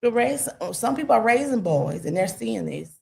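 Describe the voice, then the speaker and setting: A woman in a casual talk-radio discussion, rambling and emphatic, full of "you know" and sudden jumps in pitch. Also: very animated, moderate pace, vocal fry, warm tone.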